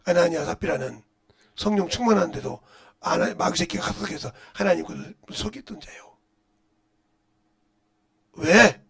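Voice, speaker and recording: A middle-aged man preaching as a pastor in a blunt, scolding manner, firing rhetorical questions and swinging between muttered asides and loud outbursts. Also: animated, brisk, vocal fry. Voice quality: loud, booming voice. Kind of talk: impassioned Korean-language sermon with rhetorical questions.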